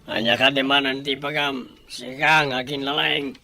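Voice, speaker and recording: A man acting as a scornful, stubborn crook with an Ilocano Filipino accent. His voice is extremely sharp and forceful at a middling pitch, in radio drama style. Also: steady tone, normal speed, soft background music.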